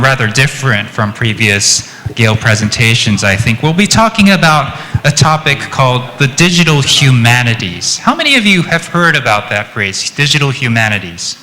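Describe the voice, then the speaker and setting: A Japanese man with an American-influenced accent giving a chatty, lively conference presentation and joking with the audience. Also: medium pitch, in a large hall, a little hiss or hum, low-quality recording. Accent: American-influenced English. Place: conference hall.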